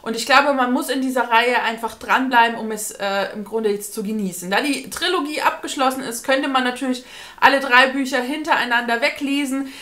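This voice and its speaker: High-voiced woman reviewing books. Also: a little fast, fairly bright tone. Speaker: book reviewer, video blogger. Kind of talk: casual spoken book review to camera. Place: indoors, small room with bookshelves.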